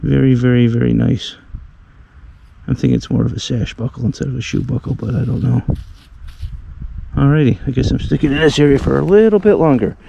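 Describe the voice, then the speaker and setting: A hoarse man with a Western New York accent talks his way through a cold outdoor hunt, his low, dark voice gravelly and worn from a cold. He rambles with fillers and gets excited over each find. Faint outdoor noise sits behind him. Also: brisk, low-quality recording. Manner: rambling, enthusiastic about finds, many fillers. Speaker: metal detecting vlogger. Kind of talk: casual narration while detecting outdoors. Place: outdoors in a snowy old park.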